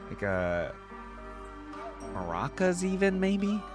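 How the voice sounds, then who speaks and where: A man's voice: sits high, very animated, takes it slowly. A man hosting a reaction video, thinking aloud in casual, informal commentary. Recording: music playing behind the voice.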